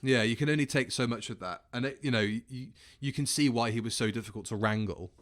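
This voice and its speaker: A man with an average-pitched voice hosts a commentary podcast. He chats casually, swears freely and keeps stopping to rephrase himself. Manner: halting, sweary, self-correcting. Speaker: podcast host.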